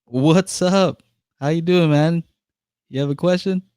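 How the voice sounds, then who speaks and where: A man's voice: on the high side, measured pace, clear, resonant tone, dry recording. Chatty male podcast host, dry sound. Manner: relaxed, chatty, laughs easily. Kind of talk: casual live call-in chat.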